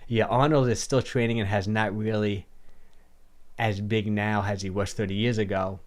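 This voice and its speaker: A middle-aged man hosting a livestream, a little hoarse from a cold, answering viewer questions in a rambling, casual way and often repeating himself. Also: fairly low pitch, steady tone, normal speed. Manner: rambling, repeats words, restarts sentences.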